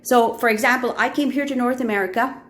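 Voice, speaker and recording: A woman's voice: on the high side, fairly even intonation, fast talker. Earnest Indian-accented woman vlogging. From Middle East. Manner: earnest, heartfelt, with frequent fillers and restarts. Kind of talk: reflective personal vlog.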